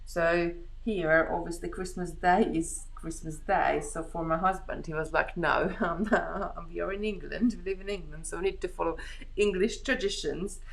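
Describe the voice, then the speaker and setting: A woman's voice: on the low side, fairly even intonation, moderate pace, creaky, rough recording. A middle-aged woman from Hungary chatting casually on a live craft stream, rambling and thinking aloud as she works. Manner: rambling, chatty, thinking aloud while working with her hands.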